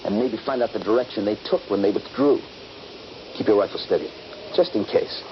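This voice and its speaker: A man's voice: fairly low pitch, a little fast, lightly acted. An actor playing a bold, eager young Union Army soldier in a radio drama, confident and dramatic in his urging. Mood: confident, watchful. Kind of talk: radio drama acting with first-person narration. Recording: dull, noisy 1970s radio recording.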